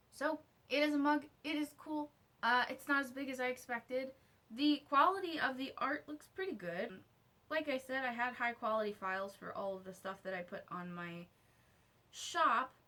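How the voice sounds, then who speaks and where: Bright, high-voiced woman chatting casually. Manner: informal and slangy, talks to herself. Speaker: vlogger reviewing her own merch. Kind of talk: casual unboxing chat to camera.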